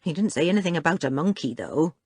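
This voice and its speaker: Storybook cook, played by a woman: kindly, homely, fussing, lowish husky voice, animated chat. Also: quick pace, no echo. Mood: explaining. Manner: homely, chatty, gently scolding. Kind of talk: children's audiobook character voice.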